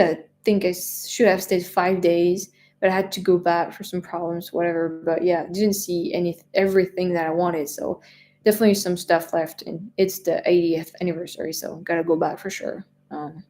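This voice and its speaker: Woman, 20s, French accent, slightly low voice, easygoing live-stream chatter who rambles. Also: fairly even intonation, normal speed, a little mellow, slight background noise. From France. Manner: chatty, rambling, lots of fillers and restarts. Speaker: travel vlogger answering live chat. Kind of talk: casual live-stream chat.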